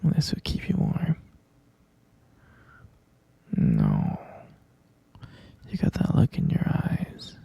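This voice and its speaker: A man playing an obsessive, tender but menacing kidnapper boyfriend in an ASMR roleplay, cooing and coaxing in a breathy, husky whisper. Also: deep voice, wildly swooping pitch, warm tone, no echo, dull audio, full character voice. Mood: doting. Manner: cooing, coaxing, slow and intimate.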